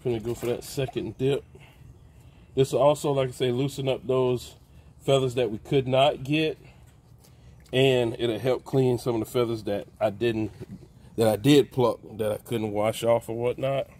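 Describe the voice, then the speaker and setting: An older man giving a relaxed, folksy how-to narration outdoors in a backyard while he works with his hands. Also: medium pitch, normal speed. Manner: folksy, relaxed, talks while plucking, calls out "baby". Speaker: backyard homesteader. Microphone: phone camera held close, hands-on filming.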